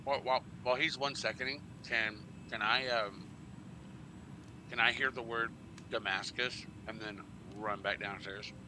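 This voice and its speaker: A man talks casually as a player in a tabletop roleplaying game. His voice is average in pitch and his manner blunt and informal. The recording has plainly audible background noise. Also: steady tone, normal speed. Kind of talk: casual tabletop roleplay, narrating own character. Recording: noisy, ambient background noise.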